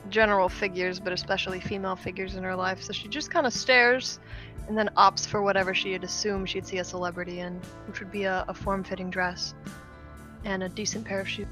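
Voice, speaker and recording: A woman's voice: medium pitch, fairly even intonation, brisk, music in the background. A woman playing a tabletop roleplaying game, casually narrating her character in the third person.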